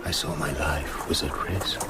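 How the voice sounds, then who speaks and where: An actor playing a tormented British gentleman scientist confessing his dark side in a brooding, literary radio drama narration. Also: extremely low pitch, fairly even intonation, normal speed, over loud music. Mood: grim, fearful.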